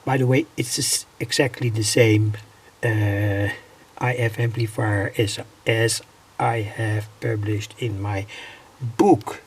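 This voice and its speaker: A man with a Dutch accent, a native Dutch speaker, explaining a homemade device in an unscripted, halting and deliberate way, often pausing mid-sentence. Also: lowish voice, steady tone, slightly rough. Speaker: electronics hobbyist presenter.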